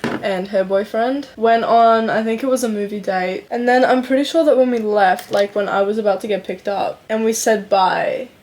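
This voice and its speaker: A woman's voice: mid-range voice, moderate pace, a little mellow. An 18-year-old woman from Slovenia chatting through a get-ready-with-me vlog at home, upbeat and full of "like". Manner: chatty, upbeat, filler-heavy ("like"). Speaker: beauty vlogger.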